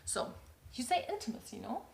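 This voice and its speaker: A woman's voice: on the high side, big pitch swings, fairly quick, creaky, echoey room. A woman with a German accent, a non-native English speaker, giving earnest relationship advice and repeating words for emphasis. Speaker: relationship coach. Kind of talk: advice talk to camera.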